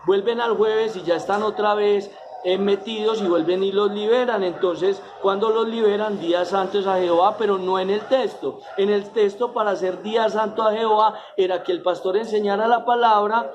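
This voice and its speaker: A man's voice: high voice, steady tone, moderate pace, crowd noise. A man preaching a sermon as pastor, stressing words by drawing them out and slipping in joking asides. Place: church. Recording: dull lo-fi sermon recording.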